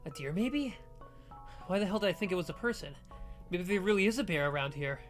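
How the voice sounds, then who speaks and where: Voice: medium pitch, animated, a little fast, lightly acted. A player's take on an awkward teenage boy, hesitant, stammering, visual novel reading, music underneath. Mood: uneasy. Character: Nick, awkward easily flustered teenage boy, recent high school grad.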